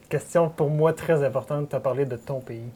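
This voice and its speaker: Jovial Quebec man with a higher, husky voice. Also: warm tone. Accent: Quebec French. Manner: jovial, quick to laugh. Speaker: cooking show host. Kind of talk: casual chat interview.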